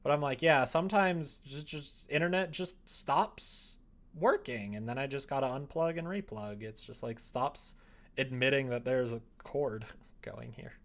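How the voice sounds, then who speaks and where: A man's voice: medium pitch, measured pace, fairly bright tone. A young man hosting a livestream, chatting casually with a self-deprecating, sardonic, grumbling manner. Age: young adult. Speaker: livestream host. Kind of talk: casual livestream chat while working.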